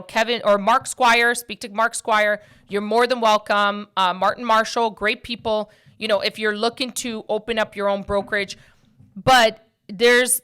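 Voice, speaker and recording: A woman's voice: on the high side, fairly bright tone, clear, resonant tone. A woman in her early forties talking casually and directly to listeners as a host, blunt and emphatic, often repeating phrases for stress. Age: early 40s. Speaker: podcast host and mortgage brokerage owner.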